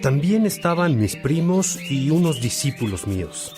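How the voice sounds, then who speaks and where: A man's voice: on the high side, very animated, unhurried, over music. A man acting a devout, earnest churchman in a religious radio drama, speaking with solemn, reflective, formal phrasing. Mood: reflective, fond.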